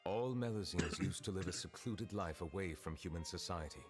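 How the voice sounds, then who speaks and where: A very deep, measured male voice, from an actor playing an ancient dragon turned judge, formal and solemn in every word. Loud music runs under him in the game. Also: natural voice.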